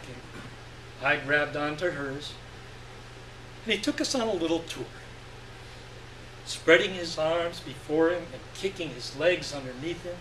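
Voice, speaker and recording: A man giving an expressive live reading of literary fiction, with deliberate phrasing and dramatic pauses. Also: fairly high pitch. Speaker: story reader. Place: live reading event indoors. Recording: echoey room, distant mic, faint hum.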